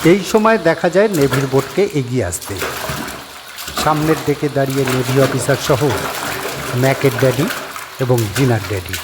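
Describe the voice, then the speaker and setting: A man narrating a horror audio story in a steady, measured storytelling voice. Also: medium pitch, heavy background noise.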